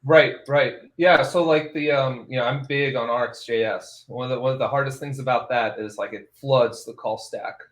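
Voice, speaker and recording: A man's voice: mid-range voice, steady tone, moderate pace, hardly any pauses. A man hosting a casual tech podcast, rambling and laughing often between phrases. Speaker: podcast host. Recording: roomy echo.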